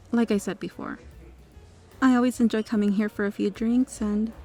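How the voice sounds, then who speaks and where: A woman's voice: a little high, warm tone. Kind, well-spoken woman restaurant regular acted by a woman. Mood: friendly, reassuring. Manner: polite, reassuring, articulate. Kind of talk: ASMR roleplay. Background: music.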